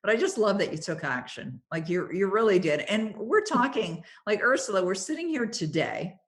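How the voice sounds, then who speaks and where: A middle-aged woman from Canada hosting a podcast interview, chatty and encouraging, with quick asides and fillers. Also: mid-range voice, very animated. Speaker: podcast host. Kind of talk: interview conversation.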